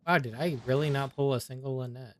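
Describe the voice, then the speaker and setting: A man's voice: mid-range voice, steady tone, fast talker. Casual male game streamer, offhand. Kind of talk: casual live gaming commentary.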